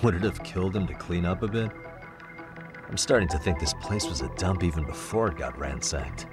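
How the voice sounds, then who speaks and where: An American man playing a sharp, composed detective in a game cutscene, speaking in a measured, deliberate way. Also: low voice, loud music behind the voice, natural voice. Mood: curious, musing. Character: sharp, composed male detective investigating a case. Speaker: video game voice actor. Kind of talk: English dub game cutscene dialogue.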